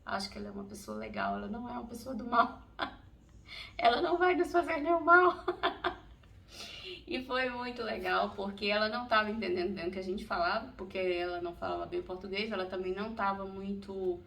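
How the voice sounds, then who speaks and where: A woman's voice: mid-range voice, expressive, a little fast, creaky, a bit bright. A middle-aged Brazilian woman telling a personal story in a casual, chatty vlog, laughing often as she goes. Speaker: vlogger. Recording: distant mic, faint ambient noise.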